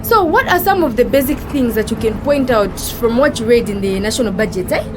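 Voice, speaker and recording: A woman's voice: a little high, lively intonation, a little fast, close to an everyday voice. A woman with a Zambian accent acting as a polite, curious bus passenger in an audio drama. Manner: polite, inquisitive. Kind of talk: acted audio drama dialogue. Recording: noisy street and vehicle background.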